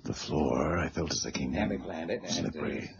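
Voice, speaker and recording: An actor playing a hard-boiled, world-weary agent, speaking in terse, tough phrases in an old radio drama. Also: male voice, rumbling low, moderate pace, gravelly. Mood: tense, hushed. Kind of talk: 1970s radio drama acting. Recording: muffled, noisy old radio drama recording.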